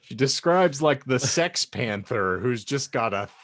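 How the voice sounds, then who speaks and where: A man hosting a comedy podcast, reading fan fiction aloud in a mock-dramatic, teasing, deliberate way. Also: mid-range voice, lively intonation, a bit bright, no echo. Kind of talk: mock-dramatic audiobook narration with joking banter.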